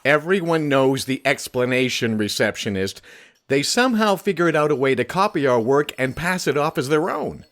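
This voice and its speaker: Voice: medium pitch, very animated, normal speed. A performer of unclear sex playing an indignant, contemptuous, pedantic editor in a comic audio drama, emphatic in delivery. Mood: scornful. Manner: scornful, emphatic.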